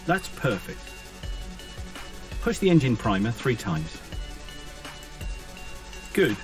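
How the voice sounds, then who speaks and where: A man's voice: medium pitch, big pitch swings, slow. A man voicing a helpful, encouraging virtual aircraft co-pilot in a flight game, giving scripted checklist instructions. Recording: distant voice with music behind.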